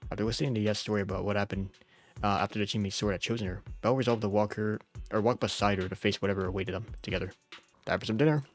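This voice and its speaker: Low-voiced man doing casual game commentary over music. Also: steady tone, rapid-fire. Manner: informal, jokey, often chuckling. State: sick. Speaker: game streamer. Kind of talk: casual playthrough commentary and reading of story text.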